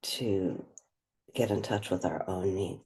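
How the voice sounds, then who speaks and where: A woman leading a guided meditation, speaking gently and without hurry, leaving long pauses between phrases. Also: very deep voice, creaky. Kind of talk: guided meditation, slow and spoken softly.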